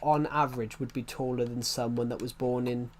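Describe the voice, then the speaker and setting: A man's voice: mid-range voice, fairly even intonation, a little fast, slight background noise. A man in his twenties streaming, chatting casually and rambling, saying each phrase twice before moving on. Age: 20s. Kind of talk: rambling casual livestream chat.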